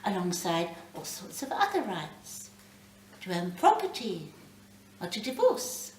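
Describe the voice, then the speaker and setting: A woman's voice: on the low side, huge pitch swings, a little slow. A woman voicing a reasonable, slightly impatient female ghost guide from a short story, in a lecturing manner. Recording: roomy, distant mic, faint background noise.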